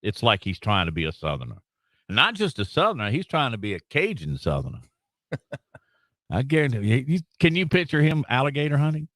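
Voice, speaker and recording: A man's voice: medium pitch, wildly swooping pitch, brisk, a bit bright, no room sound. A Southern man co-hosting a sports talk show in a studio, indignant and mocking, laughing often. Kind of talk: casual chat. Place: radio studio.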